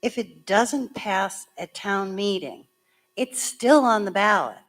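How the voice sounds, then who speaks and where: Woman, hoarse, town clerk, mid-pitched, plainspoken, step-by-step explaining, public meeting. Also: lively intonation, slow. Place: school meeting room.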